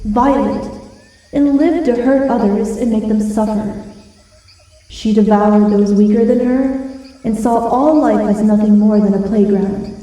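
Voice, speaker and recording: A woman playing a proud, feral but soft-hearted giant wolf girl in an ASMR roleplay, with breathy, dramatic pauses. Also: fairly high pitch. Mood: imperious, proud. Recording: very echoey, distant from the mic.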